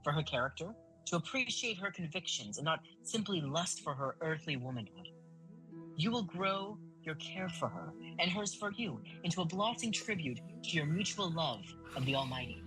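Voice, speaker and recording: A man playing an earnest, fatherly priest in an audio drama, speaking in a formal, measured and preachy way. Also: fairly high pitch, big pitch swings, over music, poor audio. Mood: earnest, counseling. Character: earnest fatherly priest counseling a young confessor.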